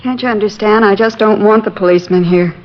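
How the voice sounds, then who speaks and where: A woman's voice: medium pitch, quick pace, music in the background, natural voice. A woman playing a frantic, stubborn wife in her thirties in a 1950s radio drama, agitated, insistent and pleading. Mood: frantic, defiant. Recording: muffled, faintly noisy vintage radio recording.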